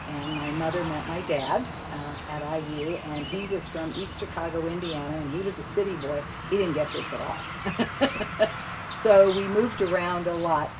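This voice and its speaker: Woman in her 70s, low-pitched, chatty storyteller, crickets and birds around. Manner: chatty, reminiscing, laughs easily. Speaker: interviewee. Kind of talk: family memories interview. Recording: outdoor noise with insects and birds. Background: insects and birds.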